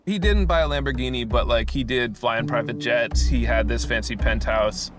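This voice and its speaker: A man's voice: medium pitch, moderate pace. A man giving casual documentary commentary, chatty and peppered with "like". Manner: chatty, lots of "like". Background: documentary score music.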